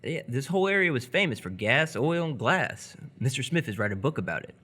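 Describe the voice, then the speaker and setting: A man playing an awkward, polite seventeen-year-old boy in live radio theatre, speaking in a halting, casual, dryly humorous way. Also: medium pitch, sing-song, pushed and bright. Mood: awkward, low-key. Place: live stage performance before an audience.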